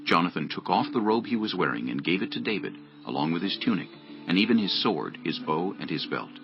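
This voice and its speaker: A man narrating scripture dramatically from a script, in a gravelly, husky voice. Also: low voice, big pitch swings, fairly quick, highs cut off, over music.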